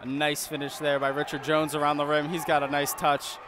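Enthusiastic color commentator, fairly high voice. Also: male voice, monotone, fairly quick. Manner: enthusiastic, casual analysis. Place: college basketball arena. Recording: crowd and court noise behind the commentary.

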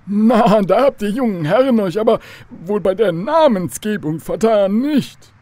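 High-pitched, animated, pedantic professor. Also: male voice, measured pace, no echo. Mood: amused. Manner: formal, pedantic, old-fashioned politeness. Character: old-fashioned, courteous, learned male professor. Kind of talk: German children's radio drama acting.

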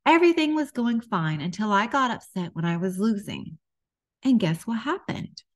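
A woman, middle-aged, reading a children's story aloud with expressive, deliberate delivery for young listeners. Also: mid-range voice.

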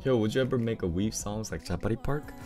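A young man with a low voice chatting casually on a livestream, reading comments, laughing often and full of fillers. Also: quick pace, darkish tone, soft background music. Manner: laid-back, laughs often, many fillers. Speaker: streamer. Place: small home room with keyboard. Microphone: studio mic on arm near face.

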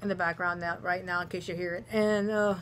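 A woman with a Cajun accent talks to a camera held close in her living room, her voice naturally deep. She rambles through a casual video diary, stopping for ums and correcting herself as she goes. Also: fairly even intonation, brisk. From Southern US. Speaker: vlogger, aspiring actress. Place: living room at home, indoors. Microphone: camera close at face level.

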